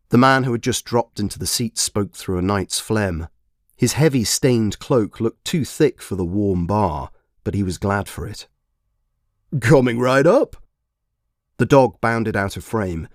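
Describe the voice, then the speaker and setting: Low, expressive man narrating fiction. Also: dry recording, clean studio sound. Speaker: author and narrator.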